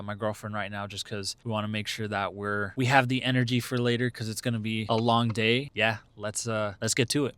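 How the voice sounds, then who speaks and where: A man's voice: fairly low pitch, a bit bright, clear, resonant tone, no room sound. A young man talking casually to camera as a vlogger, rambling with lots of fillers and restarts.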